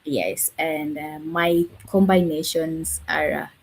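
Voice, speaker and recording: A woman's voice: low voice, steady tone, takes it slowly, gravelly, a little hiss or hum. A woman from Kenya, a language educator, speaking as a guest in a live online conversation and searching for words with many fillers. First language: not Swahili. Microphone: video call.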